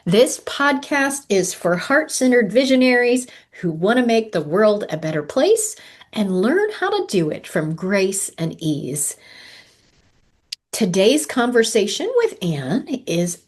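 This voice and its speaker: Animated woman podcast host. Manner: warm, gently encouraging, pitch rises on greetings. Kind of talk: podcast interview.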